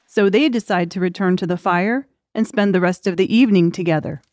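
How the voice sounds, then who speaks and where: A woman's voice: on the low side, brisk, darkish tone, no echo, studio quality. A woman reading scripted story narration as an audiobook narrator.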